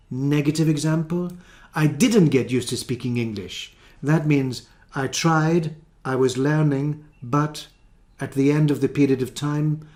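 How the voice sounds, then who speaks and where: Male ESOL teacher, slow deliberate delivery, patient step-by-step explanations. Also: medium pitch, a little mellow. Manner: careful, repeats and rephrases each example. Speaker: English teacher. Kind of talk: slow explanatory grammar lesson.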